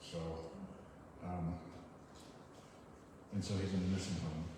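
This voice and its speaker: A man giving a poetry reading, with informal and somewhat halting spoken introductions. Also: low voice, level tone. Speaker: poetry reader at an open reading. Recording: very echoey hall, far mic, noisy.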